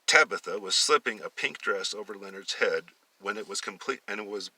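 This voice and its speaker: A man giving an amateur dramatic story reading, halting at times and repeating phrases. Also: on the low side, pushed and bright, husky, distant mic, low-quality recording.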